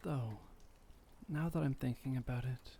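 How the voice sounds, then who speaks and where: Man as ancient male vampire painter, mellow creaky voice, unhurried, tender and wistful, formal wording, intimate ASMR roleplay. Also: medium pitch, slight background noise. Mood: pensive, mildly weary. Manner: soft-spoken, unhurried, formal old-fashioned phrasing, musing. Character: gentle, melancholy, centuries-old male vampire painter, devoted lover.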